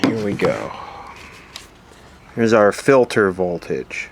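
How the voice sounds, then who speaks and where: Slow-talking man thinking aloud over background noise. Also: on the low side, sing-song. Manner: halting, thinking aloud while working. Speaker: repair video host, vintage electronics hobbyist. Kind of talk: casual off-the-cuff repair commentary. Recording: clear background noise from a workbench recording. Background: ambient noise, passing aircraft.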